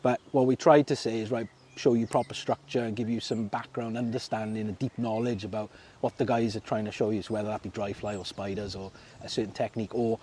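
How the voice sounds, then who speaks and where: Welsh guy from Merthyr, casual interview guest, mellow tone, chatty with lots of laughs and ums. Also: on the low side, steady tone, quick pace, a little hiss or hum. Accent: South Wales (Merthyr). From Merthyr Tydfil, Wales. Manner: chatty, laughs often, many fillers and restarts. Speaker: fishing club secretary, guest.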